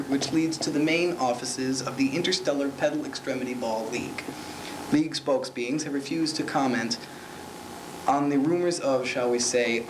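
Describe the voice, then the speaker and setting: A man's voice: mid-range voice, lightly acted. A man playing a hapless field sports correspondent in a parody newscast, with a formal reporter delivery and occasional stumbles. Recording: noisy amateur 1970s recording.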